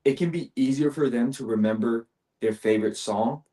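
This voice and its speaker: A man's voice: mid-range voice, moderate pace, warm tone. A man taking part in a panel discussion, explaining his ideas in a casual conversational style. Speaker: panel participant.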